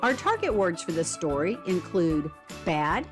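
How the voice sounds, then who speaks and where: A woman giving instructional narration and reading a picture book for children, expressive and deliberate, with prompting questions. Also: lowish voice, music in the background. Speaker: read-aloud instructor.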